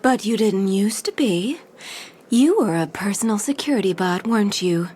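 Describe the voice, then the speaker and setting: Brief: suave female heist-crew leader played by a woman, theatrical, knowing, medium pitch, ambience behind her. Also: lively intonation, moderate pace, darkish tone, slight background noise, close to an everyday voice. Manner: theatrical, knowing, smooth-talking. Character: suave, self-assured female crime gang leader.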